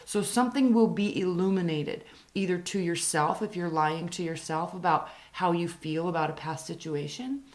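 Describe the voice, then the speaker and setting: A woman reads tarot cards in a raspy, worn-out voice that has been hoarse for days. She talks earnestly, repeats key phrases and slows down on the points she wants to stress. The sound has a noticeable room echo. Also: fairly low pitch. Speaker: tarot reader. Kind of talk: tarot reading to camera.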